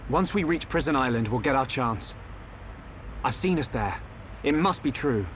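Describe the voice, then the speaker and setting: An actor playing an earnest, thoughtful young hero in a video game, speaking gently but with determination. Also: male voice, medium pitch, lively intonation, moderate pace, a little hiss or hum, close to an everyday voice. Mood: determined, pensive.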